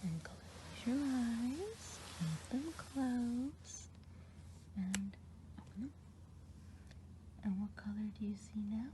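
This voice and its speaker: A woman voicing a kindly, chatty good witch of the woods, whispering softly and rambling in an ASMR roleplay. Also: on the high side, animated, slow pace, long pauses, noisy background. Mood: gentle whisper. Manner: soft whispering, rambling gossip. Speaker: ASMR performer. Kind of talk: ASMR roleplay eye exam.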